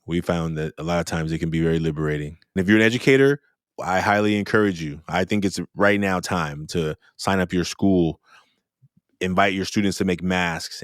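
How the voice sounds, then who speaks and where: Low-voiced man hosting a podcast. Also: no room sound, studio quality. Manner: friendly, repeats himself while practicing a name. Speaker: podcast host. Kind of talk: casual podcast interview.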